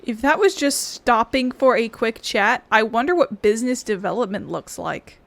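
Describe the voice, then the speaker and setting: A woman's voice: a little high, animated, normal speed, vocal fry, dry recording. A woman hosting a gaming playthrough with informal commentary, as if remarking to herself. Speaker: gaming video host. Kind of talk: casual game playthrough commentary.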